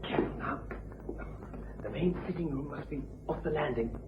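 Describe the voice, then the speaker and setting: An actor playing a furtive, cautious male intruder speaking in hushed, clipped phrases in a radio crime drama. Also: on the high side, normal speed, close to an everyday voice. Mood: stealthy, tense. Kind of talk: old-time radio drama acting. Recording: muffled, hissy old radio recording.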